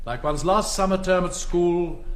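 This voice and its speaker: An actor playing a stern, pompous headmaster in a radio play. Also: male voice, high voice, moderate pace, lightly acted. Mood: solemn. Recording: roomy echo, faint background noise.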